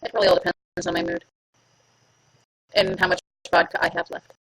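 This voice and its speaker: A woman chats live with her viewers from home in a playful, self-mocking way. Her voice swoops widely and sounds bright and punchy, with a gravelly fry underneath. The sound is dry and close. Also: on the low side, wildly swooping pitch, normal speed, poor audio. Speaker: livestream host. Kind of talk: casual live chat with viewers.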